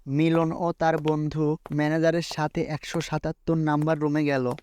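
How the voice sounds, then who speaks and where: A man's voice: a little high, completely flat delivery, moderate pace, darkish tone. A man reading scripted narration for a cartoon story. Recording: faint noise with night insects.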